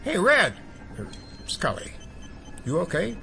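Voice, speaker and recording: A sardonic, cheeky hacker in an audio drama. His voice sits fairly high for a man and is flippant in delivery, with faint music behind. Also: huge pitch swings, slow, vocal fry, sharp tone, full character voice.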